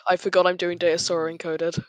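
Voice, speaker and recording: A man's voice: high voice, completely flat delivery, fast, a crowd in the background. A man with a British accent chatting casually on a group podcast. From Britain. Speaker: podcast member.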